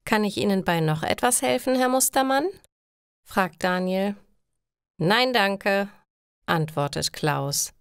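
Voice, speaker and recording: A woman reading simple scripted stories for language learners in a measured, clearly enunciated way. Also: medium pitch, animated, dry recording, clean studio sound. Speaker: language-learning story narrator.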